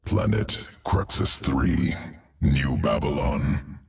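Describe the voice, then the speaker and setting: A man's voice: extremely low pitch, slow, exaggerated voice. A man voicing a cold, clinical alien creature in a video game, guttural, in terse emotionless phrases. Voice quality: guttural, gravelly. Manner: terse clipped phrases without articles, emotionless. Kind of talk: video game mission briefing.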